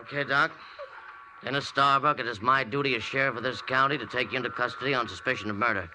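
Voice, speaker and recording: An actor playing a businesslike, officious sheriff who questions people insistently in a 1940s radio drama. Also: male voice, medium pitch, fairly even intonation, fast talker, close to an everyday voice. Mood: dry, official. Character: county sheriff, brisk, officious, drily insistent. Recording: faintly noisy old radio recording.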